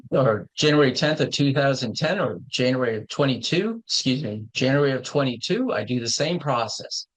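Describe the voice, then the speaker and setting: Man, 50s, animated, conversational host who corrects himself mid-thought. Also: medium pitch, low-quality recording. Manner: conversational, repeats and corrects himself, plain-spoken. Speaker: market show host. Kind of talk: casual educational talk on investing.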